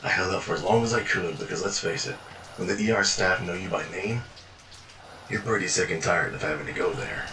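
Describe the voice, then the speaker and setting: Deep-voiced weary male narrator, echoey. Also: fairly quick, sharp tone. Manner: weary, world-worn storytelling with frequent swearing. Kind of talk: first-person fiction journal narration. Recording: echoey room, distant mic, noisy.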